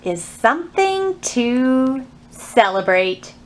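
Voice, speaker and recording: A woman's voice: sits high, very animated, drawn-out words. A woman presenting a children's storytime, explaining things simply, asking young listeners questions and reading aloud.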